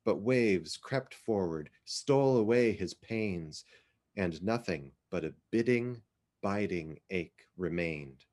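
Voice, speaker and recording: Slow, deep-voiced man reading poetry. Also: a little mellow. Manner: measured, deliberate, theatrical phrasing. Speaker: literary reader.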